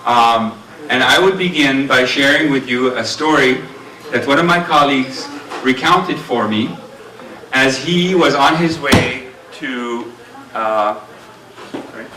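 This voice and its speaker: A middle-aged man with a booming voice giving an earnest speech at a community dinner, telling stories with many fillers. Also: slow, noisy background. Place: large banquet hall. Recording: roomy hall sound, distant mic.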